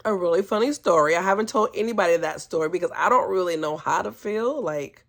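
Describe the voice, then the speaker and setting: A woman's voice: lowish voice, fast talker, creaky. A woman telling a personal story in a casual, candid and chatty way, often amused and hesitant as she picks her words. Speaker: vlogger telling personal stories.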